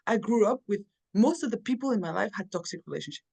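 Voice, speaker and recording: A woman's voice: medium pitch, animated, quick pace, gravelly, a little mellow, husky. A woman from Belgium, a podcast guest, talking earnestly and telling personal stories in casual conversation with frequent restarts.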